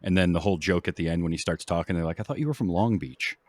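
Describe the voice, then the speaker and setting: A man hosting a casual film discussion in a conversational, measured, agreeable way. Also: low-pitched. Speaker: film podcast host.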